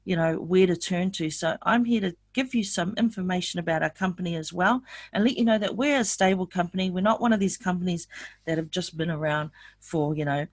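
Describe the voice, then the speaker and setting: A woman's voice: lowish voice, fairly quick, no room sound. An older woman from New Zealand presenting an online sales webinar, upbeat and asking her audience lots of questions.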